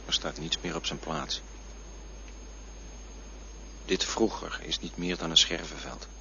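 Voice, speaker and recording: A man's voice: low voice, steady tone, measured pace, creaky. An actor playing a desolate, wistful man in a poetic radio play monologue. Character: desolate man wistfully recalling a vanished past. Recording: muffled, faintly noisy radio recording.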